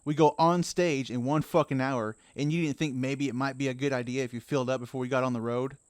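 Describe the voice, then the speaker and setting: A man's voice: mid-range voice, steady tone, fast talker, no echo, studio quality, close to an everyday voice. A man playing a hefty, irritable young rock musician in a horror story, complaining in profane slang. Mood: griping. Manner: griping, profane slangy talk. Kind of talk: dramatized horror audiobook dialogue.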